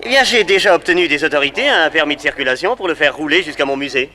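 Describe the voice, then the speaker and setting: An actor playing an excitable male museum showman in a radio drama, animated and exclamatory. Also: fairly high pitch, fast talker. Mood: showy.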